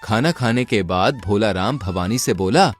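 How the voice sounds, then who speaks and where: Animated male storyteller, music behind. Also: on the low side, measured pace. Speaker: story narrator. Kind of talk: scripted narration of a moral folk tale.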